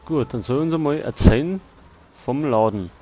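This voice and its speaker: A man's voice: mid-range voice, lively intonation, moderate pace, dark tone, slight background noise. A man with a Bavarian accent making laid-back, short asides as a let's play host. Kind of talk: casual gameplay commentary.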